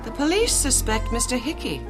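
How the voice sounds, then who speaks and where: A woman's voice: on the high side, sing-song, normal speed. A woman playing a cool, flirtatious, wealthy hotel guest in a radio drama, arch and composed. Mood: cool, guarded. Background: music.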